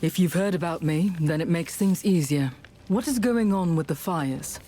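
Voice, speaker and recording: A woman's voice: lowish voice, normal speed, mellow, lightly acted. A woman playing a blunt, defiant warrior heroine in a video game, terse and confrontational. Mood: urgent, challenging.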